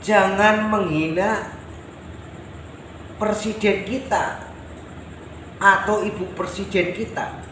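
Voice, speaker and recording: High-voiced Javanese man, echoey noisy recording. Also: fairly even intonation, slow pace, pauses often, a bit bright. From Java, Indonesia. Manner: oratorical, emphatic, repetitive phrasing. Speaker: self-styled folk personality addressing viewers. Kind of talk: patriotic monologue to camera. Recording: roomy echo with clear background noise.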